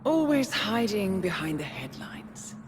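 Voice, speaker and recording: A cold, slow, taunting woman. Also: medium pitch, big pitch swings. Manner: measured, taunting, deliberate. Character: cold, mocking female handler haunting a dream. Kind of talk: video game cinematic voice acting. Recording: noisy, long cavernous reverb.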